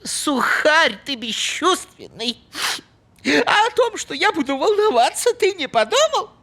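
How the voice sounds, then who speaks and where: A woman playing an emotional, caring female animal sweetheart in a cartoon, with a dramatic, expressive delivery. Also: high voice, measured pace, heavily acted. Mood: tearful, reproachful.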